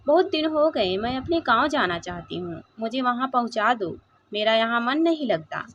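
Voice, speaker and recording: A woman storyteller voicing a meek, self-sacrificing old mother, pleading gently in a moral story. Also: fairly high pitch, lively intonation, moderate pace, slight background noise. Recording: dull, lo-fi sound.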